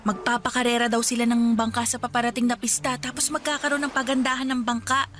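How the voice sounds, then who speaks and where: A woman acting out Sonia, a young woman who talks a lot and takes charge with a playful edge. Her voice sits a little high, with music running under the dialogue. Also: little pitch movement, fast, fairly bright tone, lightly acted. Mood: scheming. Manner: talkative, bossy, playful. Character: Sonia, chatty bossy young woman friend. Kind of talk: radio drama acting.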